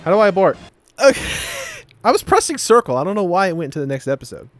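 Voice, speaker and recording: A man's voice: high voice, wildly swooping pitch, quick pace, faint music behind. A man hosting a game playthrough, chatting casually in a joking, playful way. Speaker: gaming video host.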